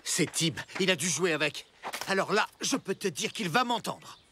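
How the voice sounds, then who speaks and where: A man voicing a fussy, excitable father and inventor in a cartoon, flustered and exclamatory. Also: high voice, normal speed, slight background noise. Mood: indignant, flustered. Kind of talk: French animated series dubbing.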